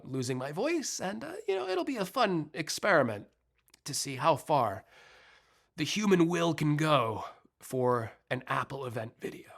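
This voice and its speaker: Male tech vlogger, congested and a bit rough, chatty upbeat delivery. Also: mid-range voice, a bit bright. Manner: chatty, upbeat, self-deprecating. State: congested, slightly rough voice from recovering illness. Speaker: tech channel host. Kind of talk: casual tech news vlog.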